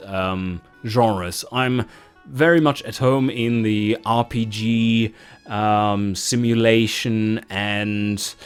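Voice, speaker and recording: Precise German-accented man, lively delivery. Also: medium pitch. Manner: precise, carefully articulated, projecting. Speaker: YouTuber and game developer. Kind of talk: channel Q&A, reading out viewer questions.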